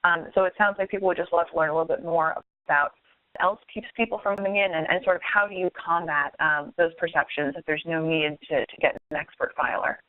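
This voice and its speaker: A moderator with a medium-pitched voice hosts a casual online Q&A, phrasing things politely and a little tentatively. The recording is dry and thin, with a telephone-like band. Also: fairly even intonation, fast talker, no echo. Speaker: webinar moderator. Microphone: phone or web conference call. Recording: dry, thin phone-line sound.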